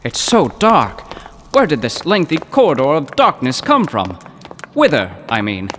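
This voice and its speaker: An actor playing a timid, pedantic poetry professor in an old-time radio comedy drama, prissy and given to flowery wording. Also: male voice, a little high, wildly swooping pitch, a little slow, fairly bright tone, a little hiss or hum, full character voice. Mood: nervous, puzzled.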